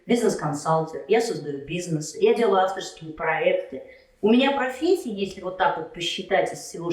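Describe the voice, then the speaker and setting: A woman in a heated political debate, arguing with sharp, combative retorts. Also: fairly low pitch, echoey room, recorded from a distance, low-quality recording. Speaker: debate candidate. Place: Kyiv.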